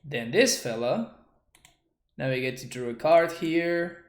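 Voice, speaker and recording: A man's voice: fairly high pitch, takes it slowly, reverberant. Argentine man casually commentating his gameplay. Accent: Argentine Spanish. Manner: relaxed, chatty, reads out and thanks viewers' chat messages. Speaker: streamer.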